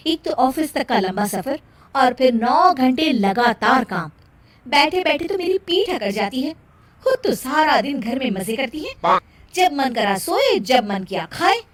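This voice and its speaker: A woman's voice: sits high, very animated, measured pace, full character voice. A woman voicing a harried young working daughter-in-law in a cartoon moral story, complaining in an emphatic, defensive way.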